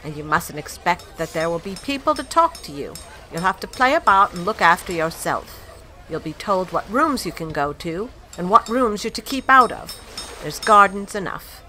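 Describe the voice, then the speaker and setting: A woman playing a stern, brisk middle-aged housekeeper in an audio drama. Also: fairly low pitch, sing-song, sharp tone, slight background noise. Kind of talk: amateur audio drama acting.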